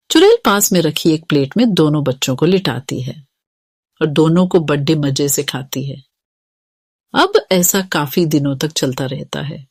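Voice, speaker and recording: A woman storyteller reading a scripted tale for children. Her voice is low, with animated rises and falls. The recording is very dry. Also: no echo. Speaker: narrator.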